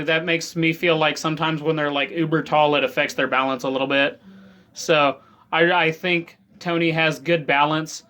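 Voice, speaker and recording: A man's voice: on the high side, bright, forceful tone. A man hosting a sports interview show, speaking in an upbeat, enthusiastic way. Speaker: host.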